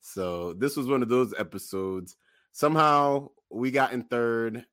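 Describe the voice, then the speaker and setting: A man hosting a casual podcast, addressing listeners in measured, promotional show-host phrases. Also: mid-range voice, fairly bright tone, no room sound, studio quality.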